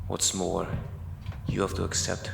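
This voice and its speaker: An actor playing a stern, authoritative military major giving oratory in a dark fantasy audio drama. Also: male voice, low voice, completely flat delivery, unhurried, vocal fry, echoey room, big-room sound, background noise, full character voice. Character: commanding, stern military major plotting a coup.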